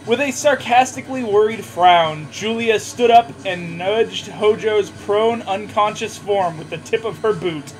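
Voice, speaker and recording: A man with a notably high voice reads aloud at a leisurely pace. His delivery is theatrical and sarcastic, breaking into mocking asides and stumbling over the text. Faint music plays behind him. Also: a little slow, few pauses, low-quality recording. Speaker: podcast co-host reading fan fiction aloud.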